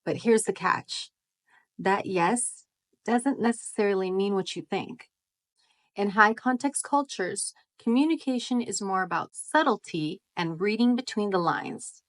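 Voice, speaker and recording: A woman speaks to her viewers in a friendly, explanatory tone. She often poses rhetorical questions in a voice of average pitch, as in a scripted vlog. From United States. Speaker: vlogger. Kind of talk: scripted talk to camera.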